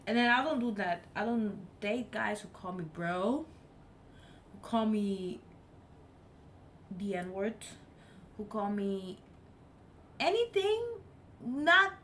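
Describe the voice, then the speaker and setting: A woman's voice: fairly high pitch, animated, slow pace, long pauses, fairly bright tone. Woman streamer, english not her first language, casual chat, says things twice for emphasis, a bit of faint noise. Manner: emphatic, repeats herself for effect. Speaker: live streamer.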